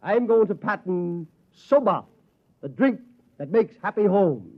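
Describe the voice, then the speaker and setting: An actor playing a tipsy, henpecked husband who pleads and talks with mock grandeur, in a 1950s radio drama. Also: male voice, sits high, expressive, unhurried, lo-fi sound.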